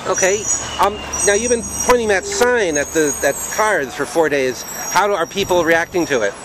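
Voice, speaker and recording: A man interviewing protesters on the street, asking brief, calm questions. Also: sits high, moderate pace. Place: outdoors on a city plaza by traffic. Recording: outdoor street noise.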